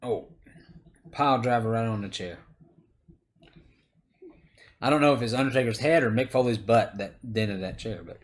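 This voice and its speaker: A man's voice: mid-range voice, normal speed, long pauses. A middle-aged man hosting, chatting casually and teasingly with his son while they watch wrestling footage. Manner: teasing, chatty, laughs easily.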